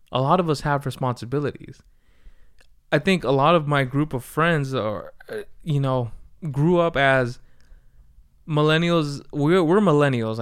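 Candid thirty-year-old man, smooth voice. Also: medium pitch. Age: 30s. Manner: rambling, candid, swears freely. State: tired from poor sleep. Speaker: podcast host. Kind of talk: casual solo update monologue.

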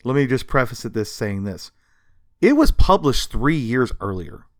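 A man's voice: mid-range voice, big pitch swings, normal speed, dry recording. Emphatic man telling stories, dry close sound. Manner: emphatic, sarcastic, freely swearing. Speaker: podcast co-host. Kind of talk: casual storytelling about comics history.

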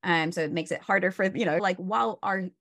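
A woman's voice: lowish voice, a little fast, fairly bright tone. A woman burnout coach, a guest on a video call, explaining stress in a casual, instructive way with many "you know"s and "right?"s.

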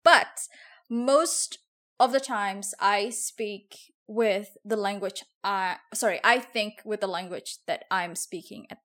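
A woman's voice: mid-range voice, slow, a bit bright. Woman, Javanese speaker, chatting casually. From Java, Indonesia. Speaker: podcast host. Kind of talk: casual conversational podcast chat.

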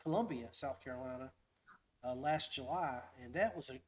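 A man's voice: mid-range voice, normal speed. A man hosting a casual fishing livestream, talking in a rambling, folksy, upbeat way. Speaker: podcast host.

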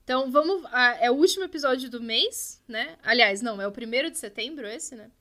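A woman's voice: a little high, expressive, brisk, bright, forceful tone. A woman narrating as game master of a tabletop game, chatty and laughing often.

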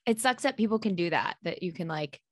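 A young woman hosting a casual podcast chat, bubbly and quick to laugh, with lots of "like" in her speech. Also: lowish voice, expressive, dry recording, clean studio sound. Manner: bubbly, laughs often, heavy use of "like". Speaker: podcast host.

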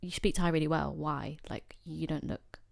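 A young woman from England, talking casually and wryly with many pauses and fillers. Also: low-pitched, steady tone, creaky, dry recording, studio quality. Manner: reflective, wry, lots of fillers and quoted voices. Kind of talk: casual conversation.

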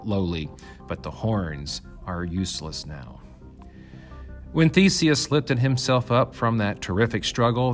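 A man reading a mythical story aloud as scripted narration, in a measured, dramatic storyteller's manner with emphatic phrasing. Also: lowish voice. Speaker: reader, narrator.

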